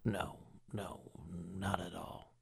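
A man's voice: deep voice, little pitch movement, slow pace, gravelly, slightly rough. A man playing sidekick in casual comic banter, with a hesitant, sheepish, offhand slacker delivery.

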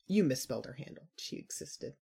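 A performer narrating dramatic second-person fiction as an anguished, urgent storyteller with a breathy voice. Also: mid-range voice, expressive, fairly quick, dark tone, full character voice. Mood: desperate, panicked. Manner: intense, urgent, emotionally swinging. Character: anguished second-person narrator telling a frantic girl's awakening.

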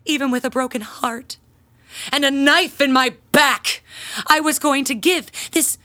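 A woman's voice: high voice, unhurried, bright, forceful tone. A woman acting in an audio drama as an aggrieved, pompous church handbell ringer, speaking in a theatrical, indignant manner. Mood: melodramatic outrage. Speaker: parishioner in confession.

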